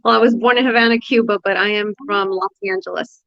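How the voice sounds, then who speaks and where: Woman guest on a faith podcast, relaxed, lots of ums, audio a bit dull like a remote call. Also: medium pitch, quick pace, creaky. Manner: easygoing, laughs lightly, hesitant fillers. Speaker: guest sharing her testimony. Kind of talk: casual interview answers.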